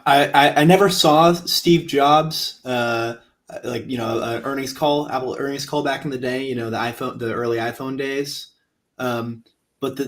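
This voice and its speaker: A man speaking as a panel guest in a casual discussion, eager but halting, with frequent restarts. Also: medium pitch, fairly bright tone.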